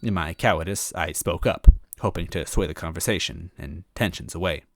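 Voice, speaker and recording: A man's voice: low voice, normal speed, creaky, very noisy. A man reading a story aloud as a dramatic first-person narrator. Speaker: story reader.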